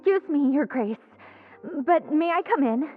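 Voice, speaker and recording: A woman's voice: high voice, animated, normal speed, phone-quality sound. An actress playing an anxious, deferential young woman in a game dub, formal, earnest and apologetic as she pleads. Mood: anxious, pleading.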